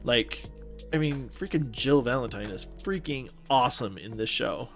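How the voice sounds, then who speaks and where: A man's voice: mid-range voice, animated, normal speed, fairly bright tone. A man co-hosting a podcast, talking casually in an informal, slangy and agreeable way. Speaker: podcast co-host. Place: recorded remotely, separate from co-host. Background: background music.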